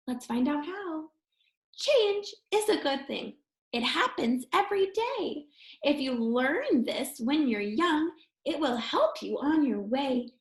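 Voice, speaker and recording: Lady teacher doing a kids read-aloud, slow and emphatic, talks right to the kids, roomy echo. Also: sits high. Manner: expressive, addresses young listeners directly, emphatic phrasing. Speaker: teacher reading a picture book aloud to children. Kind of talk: children's read-aloud with encouraging asides. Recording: roomy, echoing indoor sound.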